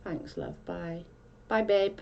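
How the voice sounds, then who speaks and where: A woman's voice: fairly low pitch, lively intonation, takes it slowly, gravelly, muffled recording, a little hiss or hum. A woman from South Wales with a Welsh accent, chatting casually on a livestream and reading out comments in a rambling way with many restarts.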